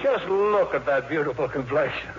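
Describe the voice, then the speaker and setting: A man's voice: a little high, lively intonation, moderate pace, crowd noise. A man hosting a 1950s radio show with scripted banter. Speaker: host. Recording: dull vintage 1950s radio recording.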